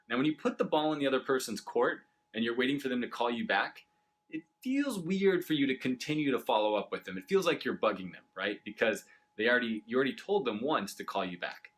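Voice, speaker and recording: Conversational man presenting a sales tip. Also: medium pitch. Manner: conversational, explanatory, checks in with rhetorical questions. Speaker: business coach and presenter. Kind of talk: instructional sales tip to camera.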